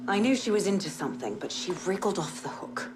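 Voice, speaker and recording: An actress playing a determined female police inspector in a video game, speaking in a terse, grim, deliberate way. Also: mid-range voice, sing-song, noisy background, natural voice. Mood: grim, resolute. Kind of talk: dramatic video game acting.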